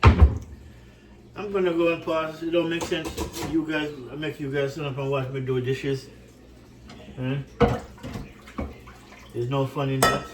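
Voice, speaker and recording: A man's voice: on the high side, little pitch movement, takes it slowly, pauses often, recorded from a distance, heavy background noise. A man from Jamaica with a Jamaican accent, chatting casually to his cooking channel viewers in his home kitchen, rambling and asking "right?" a lot. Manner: warm, repetitive, rambling, often says "right?".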